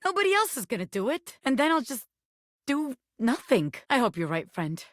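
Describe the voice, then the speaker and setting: A cocky little pin who fancies itself a crime boss in a video game. The voice sits fairly high and swings around a lot in pitch, with a close, dry sound. The talk is slangy gangster bluster with a soft heart underneath. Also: female voice, big pitch swings, moderate pace, dry recording. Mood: wistful. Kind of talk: animated video game character voice acting.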